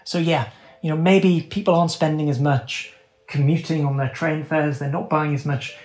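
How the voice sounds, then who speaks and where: A man's voice: on the high side, fairly even intonation, moderate pace, darkish tone. A man giving conversational economic analysis as an interview guest, explaining in informal, nuanced phrasing.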